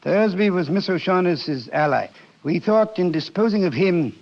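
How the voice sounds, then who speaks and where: An actor playing a genteel, wordy older crime boss in a 1940s radio drama, courtly and jovially menacing. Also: male voice, sits high, expressive, normal speed, vocal fry. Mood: explanatory. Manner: florid, courtly, chuckling politeness. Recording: muffled 1940s radio broadcast.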